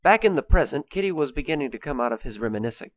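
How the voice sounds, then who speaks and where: A man's voice: a little high, animated, rapid-fire, dry recording. A man reading scripted, storybook-style narration for an amateur radio play.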